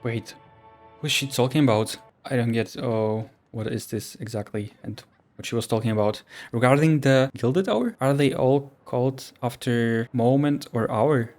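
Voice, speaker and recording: A man's voice: mid-range voice, moderate pace, darkish tone. Man musing casually over a game. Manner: curious, musing aloud. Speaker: streamer reacting to a game. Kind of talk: casual gameplay reaction commentary. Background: faint music.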